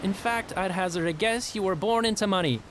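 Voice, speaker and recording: An actor playing a big, ruthless pirate captain with folksy, mock-cheerful politeness in an audio fantasy drama. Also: male voice, high voice, fairly quick, heavy background noise, lightly acted. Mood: mocking, genial. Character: large, jovially menacing red-bearded pirate captain, ruthless.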